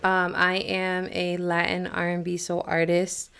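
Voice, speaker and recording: A woman's voice: fairly low pitch, completely flat delivery, unhurried, gravelly, a bit bright, clean tone. A young woman from Boston, a singer being interviewed, talking in a chatty, rambling way with lots of "like". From Boston, USA. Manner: chatty and rambling, often saying "like" and laughing. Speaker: guest singer. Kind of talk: casual interview.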